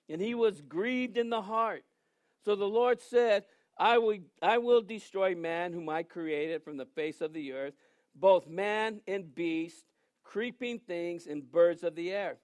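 A man in his sixties preaching a sermon in a joking, conversational way, reading scripture aloud slowly between asides. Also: high-pitched, no room sound. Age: 60s. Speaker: pastor.

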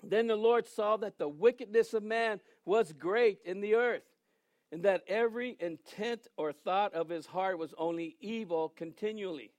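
High-voiced man in his sixties preaching. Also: no room sound. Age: 60s. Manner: joking, conversational preaching with asides; reads scripture aloud slowly. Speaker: pastor. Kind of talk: sermon.

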